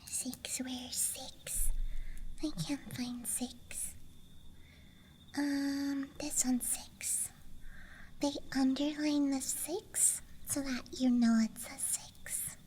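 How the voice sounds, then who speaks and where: A young woman doing unscripted ASMR in a soft, breathy whisper, with a gentle, playful, unhurried manner. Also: sits high. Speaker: ASMR streamer. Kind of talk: ASMR, unscripted show-and-tell. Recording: faint steady outdoor night ambience. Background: night campground ambience track.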